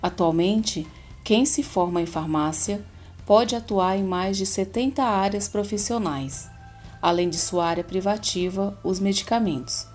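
A woman reading a scripted voice-over about a pharmacy degree in a clear, measured way. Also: medium pitch, a little mellow, soft background music. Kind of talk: scripted narration.

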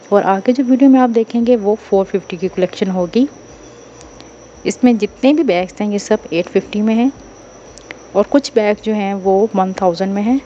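A woman's voice: medium pitch, dark tone, lo-fi sound, slight background noise. A woman vlogger with a Pakistani Urdu accent, casually walking through a busy bazaar and chatting about bags. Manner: chatty, informal shop tips. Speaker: shopping vlogger. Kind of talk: casual market walkthrough vlog.

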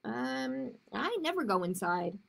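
Woman reading as a plain-spoken polar bear, high, slow and steady. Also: creaky, exaggerated voice. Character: matter-of-fact polar bear.

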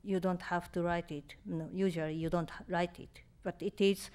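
A woman in her fifties from Japan with a Japanese accent, answering audience questions in English at a reading, halting and searching for words, on a stand mic. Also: on the low side, fairly even intonation, darkish tone, smooth. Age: 50s. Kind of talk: live Q&A at a reading. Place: lecture room on stage. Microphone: stand mic in front.

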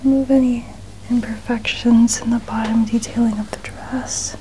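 A young woman does an ASMR stylist roleplay in a soft, breathy whisper. Her voice is mellow and fairly high, gently describing each small action without hurry. There is a noticeable background noise in the room. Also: flat delivery, normal speed, low-quality recording. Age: young adult. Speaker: ASMR host playing a dress stylist. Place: small indoor studio room. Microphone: small mic clipped at chest.

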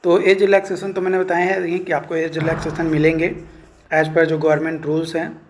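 Guy doing an online explainer, highish voice, pretty monotone, lots of filler phrases. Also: brisk. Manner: repetitive filler-laden explaining, mixing in English terms. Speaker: online coaching instructor. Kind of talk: informal explainer of a recruitment notice.

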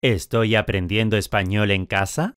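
A man speaking clearly articulated drill questions and statements in a language course. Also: fairly low pitch, fairly even intonation, normal speed, a bit bright, dry recording, clean studio sound.

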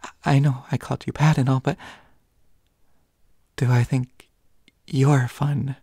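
A young woman plays a pouty teenage schoolboy in an intimate audio roleplay, very breathy and low-pitched for a female voice. He stammers slowly and haltingly, often dropping to a hush, indignant yet secretly smitten. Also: little pitch movement, dry recording, studio quality, full character voice. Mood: bashful, stammering. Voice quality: breathy, hushed, often whispering.

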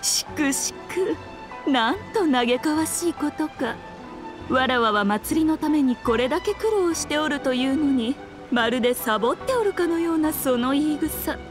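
Sly, high-voiced regal fox maiden. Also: female voice, animated, over music. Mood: teasing. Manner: archaic, lordly speech, teasing and unhurried. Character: sly, regal fox shrine maiden, teasing and self-assured. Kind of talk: Japanese anime game voice acting.

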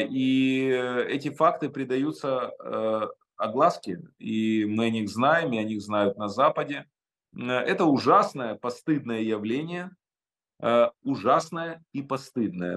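A man's voice: mid-range voice, lively intonation, a little slow, a bit bright. Emphatic Ukrainian man on a video call. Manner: emphatic, frequent hesitations. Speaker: interview guest. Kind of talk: interview answers. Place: home study, indoors.

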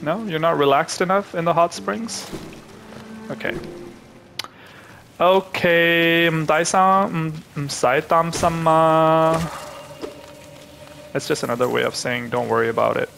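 Gravelly young man narrating gameplay. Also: on the high side, slow, long pauses, soft background music. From Pakistan. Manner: chatty, thinks aloud to viewers; excitable reactions. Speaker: gaming channel host. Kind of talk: live gameplay commentary.

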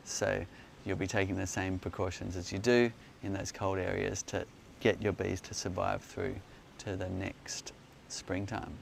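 A man with a low, gravelly voice answers questions and explains with enthusiasm as he demonstrates in an outdoor garden. There is faint outdoor noise in the background, and the recording sounds like a phone camera held nearby. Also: little pitch movement, measured pace. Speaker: beekeeping company host.

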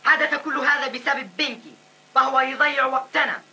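A woman's voice: high-pitched, normal speed, creaky, sharp tone, exaggerated voice. A woman voicing an earnest, urgent young goatherd boy in an Arabic cartoon dub. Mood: stern.

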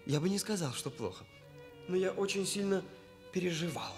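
A man's voice: high-pitched, animated, moderate pace, darkish tone, faint music behind. A man playing an earnest, sensitive young hairdresser with formal, slightly self-important phrasing, in a Soviet radio drama. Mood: sorrowful, confiding. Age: young adult. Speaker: actor in a radio play.

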